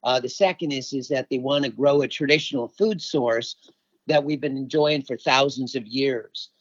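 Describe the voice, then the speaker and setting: A man's voice: medium pitch, moderate pace, no echo. A middle-aged man from Alaska giving conversational answers as an interview guest and environmental activist. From Alaska, United States. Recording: slightly dull, dry lo-fi audio.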